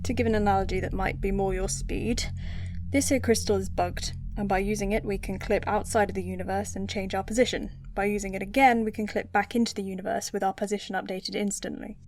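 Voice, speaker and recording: A woman acting a brisk, brainy spaceship engineer in an audio drama, explaining things in a technical, matter-of-fact way. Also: mid-range voice, fairly even intonation, darkish tone, a little hiss or hum, lightly acted. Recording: music behind the voice.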